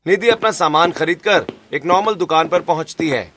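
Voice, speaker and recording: Sex: man. Slightly high-voiced story narrator. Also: normal speed, fairly bright tone. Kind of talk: scripted cartoon story narration.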